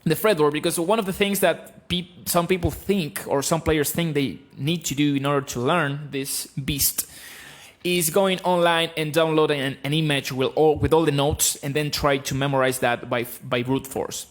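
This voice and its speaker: Guitar teacher, thirties, Argentine-accented male, blunt and lively, close mic in a small home room. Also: fairly high pitch, moderate pace. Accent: Argentine Spanish. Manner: blunt, animated, explaining. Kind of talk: live-streamed guitar lesson. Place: small room with bookshelves, indoors. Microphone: studio mic on stand, close.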